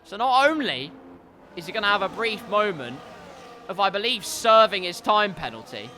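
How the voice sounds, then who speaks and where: Stuffy-nosed male race caller, high and forceful tone, dry asides, erupts on the crashes. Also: moderate pace, a little hiss or hum. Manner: wry asides, gets loud and excited at crashes. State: congested, stuffy nose. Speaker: league race commentator. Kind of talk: sim racing commentary.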